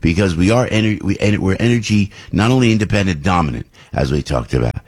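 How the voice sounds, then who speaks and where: Husky older man hosting talk radio. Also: low voice, expressive, quick pace. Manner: rambling, chatty, with frequent restarts and mock voices. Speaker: radio talk show host. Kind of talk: casual political talk radio monologue.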